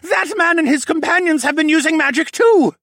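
A man's voice: very high-pitched, brisk, fairly bright tone, dry recording, clean studio sound, voice pushed up. A man voicing an angry, defiant musk ox demi-human in a fantasy audiobook, spitting out accusations. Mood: furious, frenzied.

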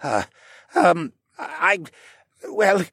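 A man's voice: medium pitch, wildly swooping pitch, measured pace, a bit bright, husky, no room sound, studio quality, exaggerated voice. A man voicing a cowardly, whining young male assassin sorcerer in a fantasy audiobook, whimpering and sulky. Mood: sulky defiance.

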